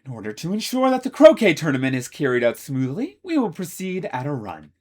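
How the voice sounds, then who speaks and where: A man voicing a strict, imperious young male house leader who gives shrill commands. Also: huge pitch swings, brisk. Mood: commanding.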